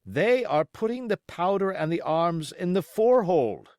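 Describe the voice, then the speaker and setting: A man's voice: sits high, sing-song, measured pace, a little mellow, dry recording, studio quality, pitched above the performer's own voice. A man voicing a blunt, stern sea captain in an audiobook, speaking formally in clipped, emphatic phrases. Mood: firm, insistent. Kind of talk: audiobook character acting.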